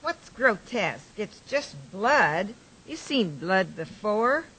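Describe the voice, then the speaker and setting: A woman's voice: medium pitch, very animated, takes it slowly, fairly bright tone, breathy edge, heavily acted, low-quality recording. A woman playing a blunt, unfazed bar onlooker in a radio drama, speaking matter-of-factly. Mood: unimpressed.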